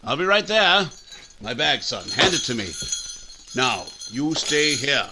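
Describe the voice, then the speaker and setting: A male actor plays a protective country-town doctor in an old-time radio play. His voice sits slightly high and moves sharply between concern and firm command. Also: sing-song, a little slow, heavy background noise. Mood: brisk, concerned. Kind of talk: 1940s radio acting.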